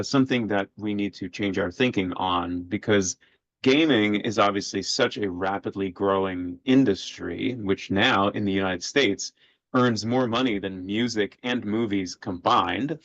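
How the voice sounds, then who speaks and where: A man in his forties from Sweden, a history professor speaking as a podcast guest in a measured way with many filler sounds. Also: on the low side, vocal fry, dry recording. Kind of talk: podcast interview. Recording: dull lo-fi audio, dry.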